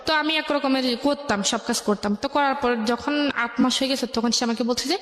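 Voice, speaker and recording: A young adult woman with a Bangladeshi accent, telling her life story as an interview guest in a halting, rambling way. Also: high-pitched. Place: echoey indoor radio studio. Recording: echoey room with long reverb.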